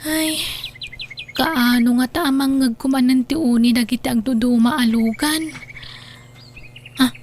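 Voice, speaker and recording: Over faint noise and birdsong, a high-pitched young daughter acted by a woman speaks with an Ilocano accent. She is dutiful and lonely, in a secluded radio-style drama world. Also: fairly even intonation, a little slow, long pauses. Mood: lonely, wistful. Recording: noisy track with birdsong.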